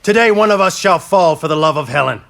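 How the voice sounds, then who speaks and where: An actor playing an earnest young Trojan prince in a game, speaking in a formal way. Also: male voice, fairly high pitch, expressive, fast talker, gravelly, fairly bright tone. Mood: resolute. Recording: faint ambient noise behind voice.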